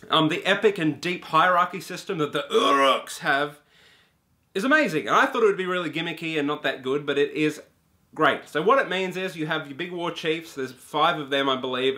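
A young man talks straight to the camera in a small room, loose and animated, with plenty of ums and the odd swear. His voice is bright and forceful, pitched in a middle range for a man. He sounds like someone casually reviewing a game. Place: small indoor room with a brick wall and shelves.

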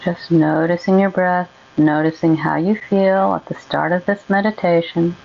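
Woman, meditation guide, lowish creaky voice, gentle and soothing, unhurried delivery, muffled lo-fi sound. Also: steady tone. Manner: gentle, unhurried, with long pauses.

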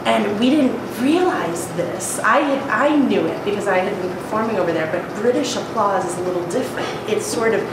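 A woman in her thirties from New York, an opera singer chatting as an interview guest, bubbly and quick to laugh. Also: medium pitch, expressive. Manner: bubbly, laughs often, many fillers. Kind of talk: casual interview chat. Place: room with plants and wood furniture, indoors. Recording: echoey room from far off, noisy.

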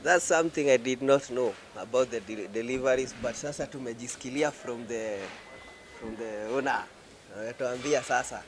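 A man's voice: medium pitch, moderate pace, noisy background. A young man with a Kenyan accent hosting a casual interview, switching between Swahili and English, on a handheld mic. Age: young adult. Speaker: show host, interviewer. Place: inside a supermarket. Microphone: handheld microphone. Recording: music playing behind the voice. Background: music.

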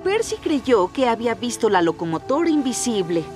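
An actor playing a cheerful, excitable young male steam locomotive in a cartoon, earnest and exclamatory, with a Latin American Spanish accent. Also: medium pitch, normal speed, over music, natural voice. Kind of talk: cartoon dub acting and narration.

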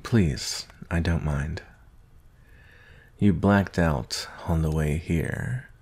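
A man playing a gruff but tender werewolf bounty hunter in an ASMR roleplay, soft-spoken and gentle, with hesitant pauses. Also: exaggerated voice. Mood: gentle, soothing. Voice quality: very deep, gravelly, husky.

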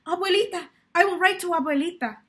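A distraught young girl from a storybook, voiced by a woman reading aloud. Her voice is very high and tearful. She pleads earnestly for her mother. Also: fast talker, fairly bright tone. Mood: urgent.

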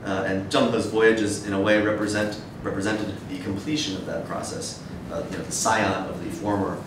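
A man in his thirties giving an academic lecture in a measured, hesitant way. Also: flat delivery. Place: dim wood-shelved seminar room with audience, indoors. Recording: echoey room, distant mic, noisy rumble.

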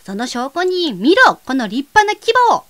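A woman playing a small, naive, blustering nine-year-old boy wolf in an audio drama, with rough, boastful speech and flustered stammers. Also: high-pitched, big pitch swings, a little slow, a bit bright, heavily acted. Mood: proud, boastful. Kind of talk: scripted audio drama acting.

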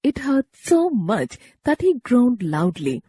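A woman narrating a children's story in a measured, expressive storybook style. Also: fairly high pitch, breathy edge.

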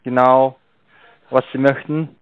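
A man with a foreign accent works through a sales call, instructing in halting, broken phrases. The sound is slightly dull and lo-fi, with a dry, close feel and a mid-range pitch. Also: little pitch movement, no echo. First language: not German. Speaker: call centre scam agent.

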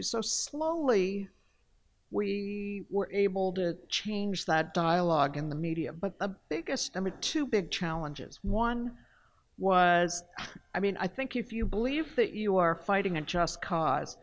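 An older woman from Canada, speaking on a panel in a large hall into a table mic, telling her story earnestly and with emphasis. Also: mid-range voice. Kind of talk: panel discussion talk. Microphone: table mic on a stand.

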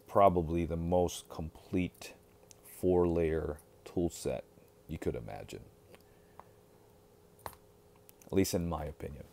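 A man casually showing a pocket knife to the camera at a table indoors, relaxed and unhurried, with fillers and trailing sentences. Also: sits low. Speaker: knife collector reviewer. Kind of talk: casual show-and-tell. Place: indoors at a cutting-mat table. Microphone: overhead camera on hands, voice from off-frame.

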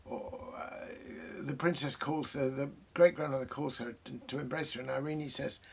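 A British man in his sixties, a host talking about books and reading aloud, reflective and savouring his phrases with deliberate pauses. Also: mid-range voice, steady tone, vocal fry, husky. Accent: British English. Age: 60s. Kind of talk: bookish commentary and reading aloud. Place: small book-crammed study, indoors.

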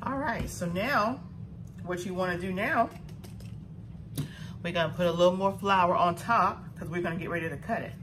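Southern woman, lowish voice, homey cooking host, noisy kitchen recording. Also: moderate pace, pauses often. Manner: homey, instructive, talks to viewers as y'all. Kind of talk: step-by-step cooking demonstration.

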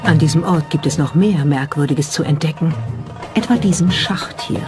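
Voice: medium pitch, moderate pace. A narrator reading a scripted documentary in a pointed, skeptical, rhetorical manner. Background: background music.

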